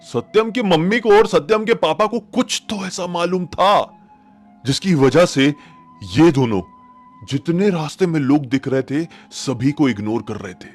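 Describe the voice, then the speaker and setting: A man's voice: fairly high pitch, unhurried, faint music behind. A man telling a horror story informally, in a conversational and dramatic retelling that speaks straight to the listener. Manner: animated retelling, addresses listeners directly, repeats phrases for suspense.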